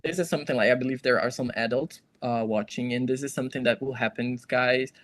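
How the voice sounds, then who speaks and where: A man's voice: medium pitch, fairly even intonation, fast, fairly bright tone. A man, a guest expert, explains language learning in English step by step like a teacher. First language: Portuguese. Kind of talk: explanatory conversation.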